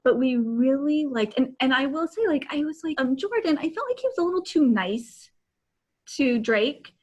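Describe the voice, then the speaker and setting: High-voiced, effusive woman chatting. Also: animated, muffled recording. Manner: effusive, halting and repetitive. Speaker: podcast co-host. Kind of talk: casual book chat. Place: indoors in a home room, on a video call. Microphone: video call microphone.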